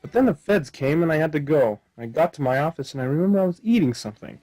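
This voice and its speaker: A man's voice: on the high side, animated, quick pace, darkish tone. An actor playing a dim, literal-minded male detective hero in a comic radio play. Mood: naive, earnest.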